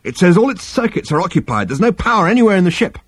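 A man's voice: high-pitched, very animated, fast talker, husky, dry recording, pitched above the performer's own voice, exaggerated voice. A man voicing a curt, urgent, fatalistic spaceship crewman in an audiobook story. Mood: grimly frustrated. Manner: snappy, terse.

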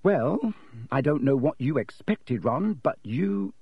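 A prim, clever schoolgirl speaks briskly with a note of disapproval, as a male narrator puts her on. The voice sits well above his own. The recording is dry and a bit dull. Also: medium pitch, big pitch swings, vocal fry, breathy edge, dry recording, pitched above the performer's own voice, heavily acted. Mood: prim reproving. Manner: brisk, reproving.

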